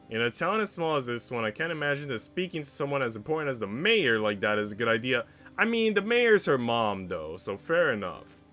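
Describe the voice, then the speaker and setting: A man's voice: medium pitch, a bit bright. A man live-streaming as he reads a visual novel aloud and chats casually in slangy, mildly sweary language. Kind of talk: casual live-stream chat mixed with read-aloud narration.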